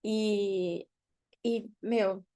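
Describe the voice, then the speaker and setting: A young woman from Brazil, a podcast guest, chatting casually and telling her story in an animated way, laughing often. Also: mid-range voice, drawn-out words. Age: young adult. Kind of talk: casual interview chat. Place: podcast studio.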